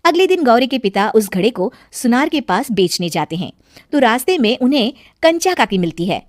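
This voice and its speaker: A woman's voice: on the high side, big pitch swings, normal speed, no room sound, studio quality. A woman giving scripted narration for a children's moral cartoon story.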